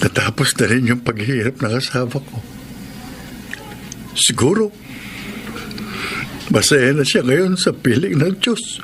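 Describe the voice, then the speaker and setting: An actor playing a grieving, resigned Filipino widower in radio drama style, subdued and halting. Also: male voice, medium pitch, background noise, close to an everyday voice. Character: grieving, resigned, forgiving widower who expects death soon.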